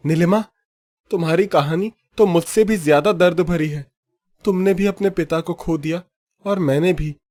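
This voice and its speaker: A man's voice: a little high, moderate pace, a little mellow, natural voice. A man voicing a young fisherman in a cartoon, speaking in an earnest, emotional way. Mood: grieving. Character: young fisherman, grieving and angry. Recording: faint background music.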